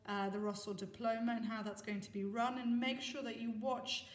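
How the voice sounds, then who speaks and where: A woman's voice: a little high, steady tone, normal speed. A middle-aged woman, a deputy head, giving a prepared school assembly address to camera. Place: small office indoors with bare walls. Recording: echoey room, slightly distant mic.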